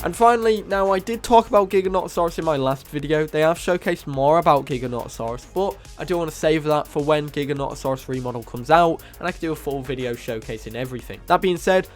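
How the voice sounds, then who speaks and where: A man with a cold, sounding hoarse and nasal, enthusiastically narrating a game mod showcase as host of his channel. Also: a little high. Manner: enthusiastic, casual, repeats praise. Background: faint music.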